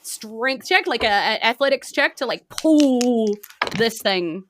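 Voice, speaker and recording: A woman with a New Zealand accent narrating a tabletop game as game master, improvising in a casual, animated way. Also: fairly high pitch, takes it slowly, a little hiss or hum.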